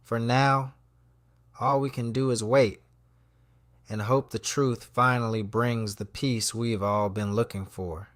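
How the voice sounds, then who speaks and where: A thoughtful, patient man confides a diary entry in an audio drama , as voiced by an actor. His mid-pitched voice is measured and reflective, recorded very dry and close. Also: fairly even intonation, fairly bright tone, no room sound. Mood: accepting, hopeful. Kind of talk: scripted first-person diary narration.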